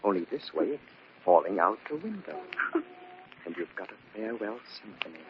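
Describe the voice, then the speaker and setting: An actor playing a bitter, unhinged murderous husband in an old-time radio drama, theatrical and taunting, in a muffled, noisy recording. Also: male voice, on the low side, sing-song, moderate pace. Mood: menacing, taunting. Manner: theatrical, taunting, swinging from quiet menace to outbursts.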